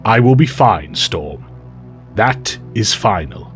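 An actor playing a calm, dignified male telepathic professor who speaks in a formal, measured way. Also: on the low side, soft background music.

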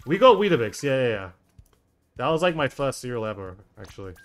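A man's voice: medium pitch, big pitch swings, fairly quick, soft background music. Chatty English man streaming a game. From England. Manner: chatty, reads and answers chat, often says "dude". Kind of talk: casual live-stream chat while gaming.

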